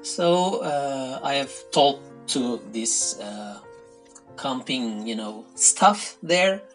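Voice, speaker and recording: A man's voice: medium pitch, lively intonation, very slow, soft background music, rough recording. A man from Turkey with a Turkish accent, answering interview questions in English, his second language, with many fillers and restarts.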